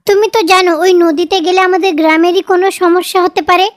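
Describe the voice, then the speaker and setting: Casting: actress for nagging cartoon village wife, extremely high voice, anxious and reproachful. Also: female voice, flat delivery, fast talker, background noise. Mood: worried scolding. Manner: scolding, pleading. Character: nagging, worried village wife, scolding her husband. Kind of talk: cartoon story dubbing.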